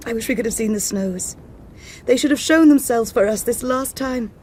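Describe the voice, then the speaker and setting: A woman's voice: a little high, moderate pace, a little mellow, a little hiss or hum, natural voice. A woman playing a wistful young nun. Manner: plaintive, wistful. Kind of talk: radio drama acting.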